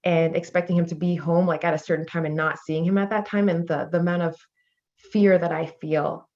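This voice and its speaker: A woman's voice: lowish voice, fairly even intonation, fairly quick, darkish tone, muffled recording. A woman in her thirties from Canada, speaking earnestly on a panel discussion with many fillers and growing intensity. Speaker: panel guest.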